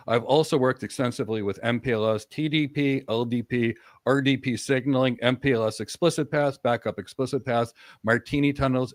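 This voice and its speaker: A man hosting a live-stream career talk, acting out mock job interview answers in a lively, demonstrative way. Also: mid-range voice, no echo, clean studio sound. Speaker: host.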